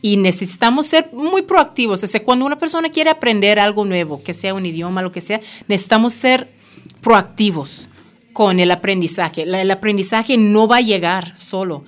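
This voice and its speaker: Jamaican woman explaining with steady encouragement. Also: medium pitch, normal speed. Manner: encouraging, explanatory, frequent tag questions. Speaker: language school representative. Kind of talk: conversational interview.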